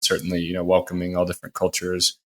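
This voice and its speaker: Low, flat-voiced male podcast host. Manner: measured, many hedging fillers. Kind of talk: interview hosting.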